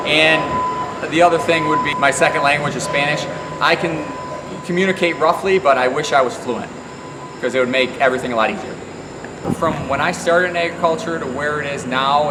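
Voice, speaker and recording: Distant echoing recording, loud background hum, man with a slightly high voice, plain-spoken, halting, informal interview. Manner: plain-spoken, halting, frequent "you know". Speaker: farm employer and heavy equipment operator. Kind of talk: informal interview answers about work.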